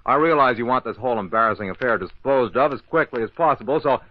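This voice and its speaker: An actor playing a calm, courteous but firm police inspector in an old-time radio mystery, deliberate and authoritative. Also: male voice, medium pitch, natural voice. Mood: courteous, probing. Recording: roomy, dull old radio recording.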